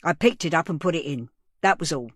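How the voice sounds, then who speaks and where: A woman's voice: low voice, lively intonation, brisk, a bit bright, husky, no room sound, clean studio sound, exaggerated voice. A woman voicing a nervous male cafe owner under police questioning, speaking defensively with a tremor in his voice. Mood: guarded. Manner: defensive, voice trembling.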